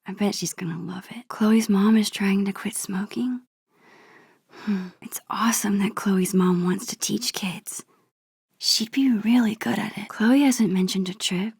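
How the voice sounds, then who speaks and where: Game role: thoughtful American teenage girl. Soft, husky-breathy inner monologue with a creaky edge, musing and shy. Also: mid-range voice, unhurried, dry recording, studio quality. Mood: curious, fond. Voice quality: breathy, hushed. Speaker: video game voice actress.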